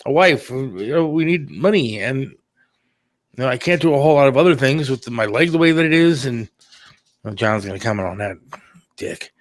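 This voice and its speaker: A man's voice: mid-range voice, animated, moderate pace, dry recording. A middle-aged man talking candidly and casually on a talk show, plain-spoken and a little defensive. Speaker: radio producer and talk show host.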